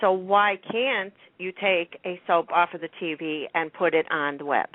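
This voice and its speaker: A woman with a slightly low voice chats casually on a radio talk show. Her audio is narrow and tinny like a telephone call, with a dry, close sound. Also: moderate pace, dry recording. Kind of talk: casual conversation.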